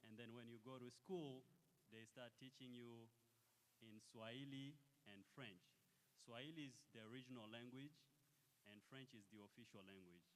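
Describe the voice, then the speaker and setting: A man's voice: mid-range voice, normal speed. A young man from Congo, Kihavu his first language, answering interview questions in an earnest, explanatory way. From Democratic Republic of the Congo. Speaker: guest speaker. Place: church hall. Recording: reverberant hall with faint hiss.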